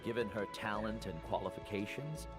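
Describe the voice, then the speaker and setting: An actor playing a wise older male guide in a game, speaking in a measured, formal, reflective way. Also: on the low side, natural voice. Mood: calm, reflective. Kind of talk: scripted game dialogue. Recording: music behind the voice.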